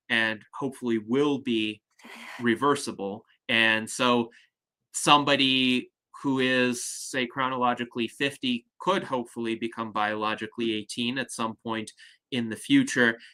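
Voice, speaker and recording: A man hosting an online discussion over a video call, explaining ideas in a measured, articulate way. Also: mid-range voice, steady tone, pushed and bright. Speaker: host.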